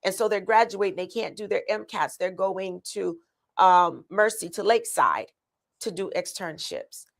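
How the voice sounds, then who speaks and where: Earnest gravelly-voiced woman candidate. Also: mid-range voice, moderate pace. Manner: earnest, many ums and restarts. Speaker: county commission candidate. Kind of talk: answering interview questions.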